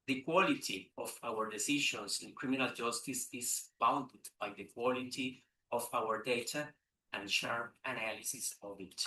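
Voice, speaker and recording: A man's voice: mid-range voice, pushed and bright. Man giving a formal speech in an echoey hall. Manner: measured, occasional hesitant restarts. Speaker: conference speaker. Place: large conference room. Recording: echoey room, distant mic.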